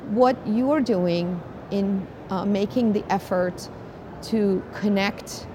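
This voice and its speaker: A woman doctor hosting an interview at a medical conference, speaking in an effusive, emphatic way. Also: medium pitch. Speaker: host, interventional cardiologist. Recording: clearly noisy conference background.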